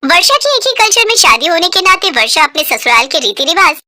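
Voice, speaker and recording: A woman's voice: very high-pitched, very animated, a little fast, sharp tone, no echo, clean studio sound. A woman narrating a scripted cartoon moral story.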